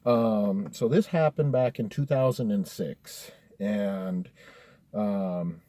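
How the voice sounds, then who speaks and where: A man's voice: lowish voice, slow, dark tone, dry recording. A young American man telling a personal horror story casually, swearing freely and using slangy talk like dude and bro. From Colorado, United States. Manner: profane, slangy, addressing listeners as dude and bro. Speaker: storyteller, host of a story-time series.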